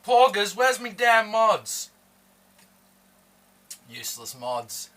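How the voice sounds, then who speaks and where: A man, his voice bright and forceful, sits a little back from the microphone. He chats loosely and teasingly with his stream audience while eating. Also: high voice, big pitch swings, takes it slowly, distant mic. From born in Hong Kong, raised in Australia. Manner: teasing, joking with chat. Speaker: livestreamer. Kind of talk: casual live-stream chat while eating.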